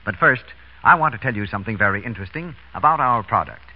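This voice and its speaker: An American man announcing and pitching a sponsor's product in 1930s radio style, with a husky voice. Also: animated, fast talker. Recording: muffled vintage radio broadcast.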